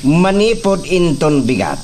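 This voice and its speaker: An actor playing an arrogant landowner's son who speaks in a slow, mocking way. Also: male voice, on the high side, sing-song, darkish tone, slight background noise.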